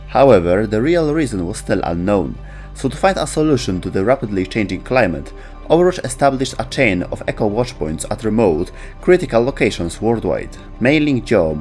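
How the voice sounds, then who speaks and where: A man's voice: fairly low pitch, darkish tone. A man reading scripted narration for a video about video game lore.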